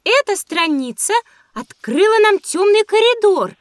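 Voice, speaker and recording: Very high-pitched curious girl. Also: sing-song, unhurried, noisy background, full character voice. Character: curious, chatty little girl. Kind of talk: children's audio drama acting.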